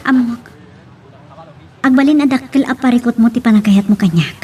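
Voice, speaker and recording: A woman's voice: a little high, normal speed, mellow, natural voice. A woman playing a proud, hardworking young student wary of her suitor, in an Ilocano-language radio drama. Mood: firm, guarded.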